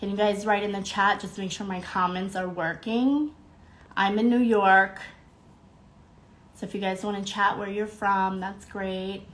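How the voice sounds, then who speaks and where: A woman's voice: medium pitch, fairly even intonation, a little slow, fairly bright tone. Young woman with a stuffy nose chatting to her live viewers, bubbly, lots of ums. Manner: bubbly, greets viewers by name, fillers. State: congested, slightly nasal. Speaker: podcast host. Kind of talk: casual live-stream chat.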